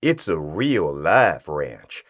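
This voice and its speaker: An actor playing a folksy adult dude-ranch cowboy with a drawling manner in a comedy radio play. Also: male voice, sits low, sing-song, extremely slow, exaggerated voice.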